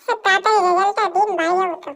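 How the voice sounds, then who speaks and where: A performer voicing cheeky, boastful cartoon schoolchildren who whine and stall with exaggerated, drawn-out words. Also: very high-pitched, steady tone, fairly bright tone. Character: cheeky schoolchildren, lazy, boastful, whining to their teacher. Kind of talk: comedy cartoon dub.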